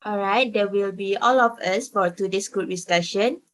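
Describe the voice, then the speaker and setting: A woman's voice: medium pitch, normal speed. A woman in her twenties with a Malaysian accent, formally leading a student group discussion. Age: 20s. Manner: formal, structured moderating. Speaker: discussion moderator.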